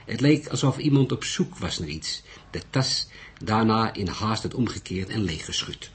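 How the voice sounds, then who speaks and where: A man narrating a literary detective story in a measured, deliberate way. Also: fairly low pitch, animated. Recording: noisy recording.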